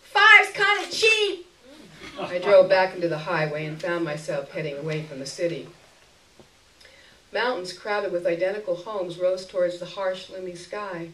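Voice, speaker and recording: A woman giving an expressive live reading of a first-person short story, in a literary narration style. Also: fairly low pitch, moderate pace. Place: indoor stage before an audience. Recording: roomy live stage recording, distant mic.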